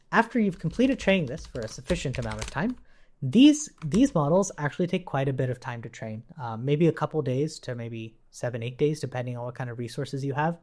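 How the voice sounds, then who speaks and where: A tutorial presenter with a medium-pitched, very animated voice. The delivery is casual and unscripted, working through code aloud step by step. Statements often end on a short checking tag, as if teaching someone alongside. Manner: informal, thinking aloud while working, tags statements with "right?". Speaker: instructor, coding tutorial presenter. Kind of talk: casual live-coding tutorial narration.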